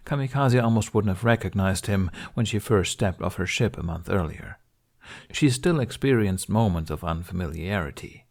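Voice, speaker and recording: A man with a fairly low voice reads a fantasy novel aloud as an audiobook narrator. His delivery is scripted storytelling, carried in that lower register. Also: darkish tone.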